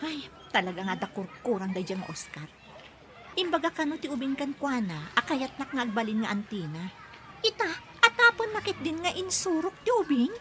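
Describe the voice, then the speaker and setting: A woman acting in a radio drama as a proud, blunt and expressive nanny who cares for her charge. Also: sits high, normal speed, full character voice. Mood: indignant.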